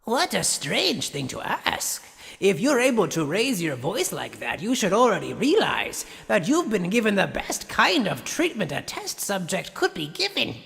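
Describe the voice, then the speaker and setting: A man's voice: high-pitched, huge pitch swings, unhurried, vocal fry, a bit bright, heavily acted. A man voicing an arrogant, sadistic mad scientist in an anime fan dub, theatrical and condescending with mock politeness, recorded in long reverb. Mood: smug taunting.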